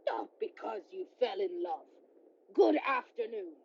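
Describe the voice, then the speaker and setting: A woman's voice: medium pitch, animated, unhurried, vocal fry, husky, lo-fi sound, exaggerated voice. A woman playing a bitter, miserly old businessman in a radio play, curt and scornful, declaiming theatrically. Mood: cold, dismissive.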